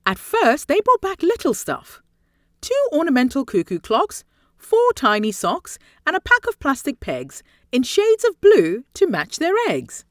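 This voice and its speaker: A woman with a high voice reads a rhyming children's story aloud. Her pitch swings widely as she leans into dramatic emphasis, phrasing each line deliberately. The sound is close and dry. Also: wildly swooping pitch, dry recording. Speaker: storybook reader.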